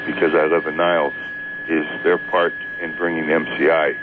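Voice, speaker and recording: Low-voiced man on a noisy phone line. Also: level tone. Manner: halting, repetitive, emphatic. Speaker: radio call-in guest. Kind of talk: casual political chat on talk radio. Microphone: telephone. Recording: tinny phone line, noisy hum, radio aircheck.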